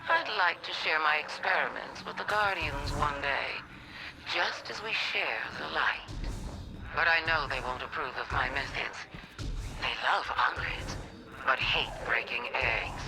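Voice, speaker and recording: Creaky-voiced, condescending amoral experimenter. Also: male voice, fairly high pitch, measured pace, background noise. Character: scheming, amoral male experimenter, scornful and refined. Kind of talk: scripted game monologue.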